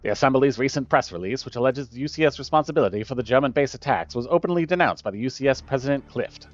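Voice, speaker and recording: A man playing a brisk, formal newsreader delivering a fluent scripted bulletin in a story game. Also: mid-range voice, expressive, no room sound, close to an everyday voice. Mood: brisk reporting. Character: brisk, formal male newsreader reporting international crisis. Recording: dull lo-fi audio with music behind.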